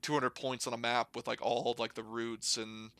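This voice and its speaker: A young American man with a bright, forward voice, recorded close and dry. He talks casually, as if explaining things to a friend. His delivery is informal and rambling, with plenty of slangy hedging. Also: medium pitch, little pitch movement, moderate pace, dry recording. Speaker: podcast guest, air traffic controller. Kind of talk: casual conversational storytelling and explanation.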